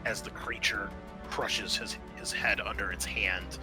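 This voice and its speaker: A man working as game master, narrating a tabletop horror game in a halting, descriptive way. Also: fairly low pitch, fairly even intonation, over music.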